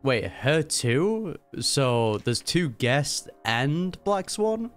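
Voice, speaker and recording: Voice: medium pitch, sing-song, drawn-out words, over music. A game streamer giving casual reaction commentary in short, offhand muttered asides over a cutscene.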